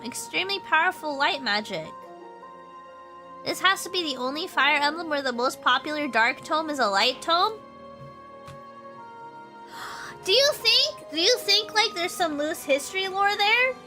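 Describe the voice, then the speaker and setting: A woman's voice: very high-pitched, animated, measured pace, pauses often, pushed and bright. A young woman streaming a game, chatting casually and rambling with lots of fillers, her nose stuffy from allergies. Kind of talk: casual gameplay stream chat. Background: game music.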